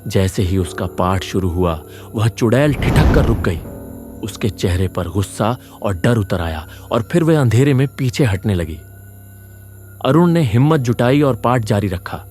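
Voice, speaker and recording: A man with a low voice narrates a horror story in a scripted, dramatic style. Soft music plays behind him. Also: lively intonation, a little slow.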